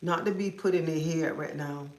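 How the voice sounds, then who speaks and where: A woman's voice: low voice, normal speed. A woman hosting a casual livestream from home, feeling sick as if coming down with a cold, rambling and repeating herself. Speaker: livestream host. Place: at home, indoors. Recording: echoey room.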